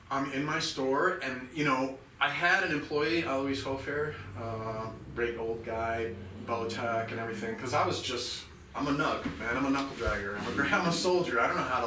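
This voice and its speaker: A man's voice: medium pitch, background noise. A middle-aged man telling his story as a podcast guest, earnest and rambling. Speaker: podcast guest, veteran nonprofit founder. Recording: roomy and distant from the mic.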